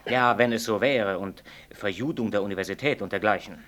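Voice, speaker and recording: An actor in a 1960s German radio play, playing an excitable, loyal and blunt doctor among his colleagues. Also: fairly low pitch, a little fast, lightly acted. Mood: agitated reporting.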